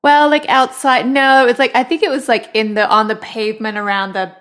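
A woman's voice: fairly high pitch, flat delivery, brisk, a bit bright. Australian woman with a half-American accent. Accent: Australian, softened toward American. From Western Australia. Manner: chatty storyteller, many likes and restarts. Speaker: podcast co-host. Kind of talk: casual chat.

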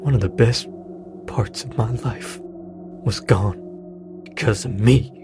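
A man playing a grieving, apologetic middle-aged widowed father with a halting delivery in a naturalistic audio drama. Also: lowish voice. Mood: anguished, guilty. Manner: halting, hesitant, sighing delivery. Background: music.

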